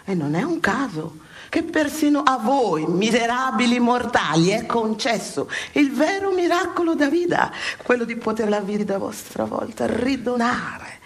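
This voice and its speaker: An actress playing an impassioned heavenly examiner, lecturing souls with emphatic, theatrical delivery and dramatic pauses in a radio play. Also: female voice, on the high side, very animated. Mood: solemn.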